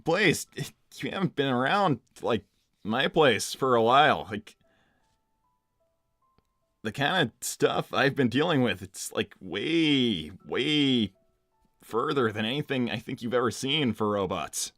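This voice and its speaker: A man playing a cocky, laid-back, slightly dense young hedgehog hero in improvised live roleplay, talking casually and haltingly with plenty of filler words. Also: medium pitch, expressive, fairly bright tone, no room sound, studio quality, soft background music. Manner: casual, halting, lots of "like" and "you know". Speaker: live stream character performer reading donor messages.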